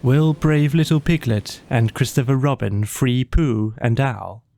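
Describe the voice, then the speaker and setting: Slow, mellow male story narrator. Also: medium pitch, vocal fry, no echo, studio quality. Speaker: audiobook narrator.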